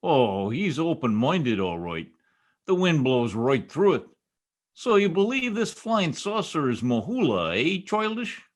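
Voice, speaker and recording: A man's voice: on the high side, very animated, measured pace. A man playing a blustery, boastful small-town husband in old-time radio comedy, with folksy exclamations. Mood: scoffing. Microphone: video call microphone. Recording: video call recording.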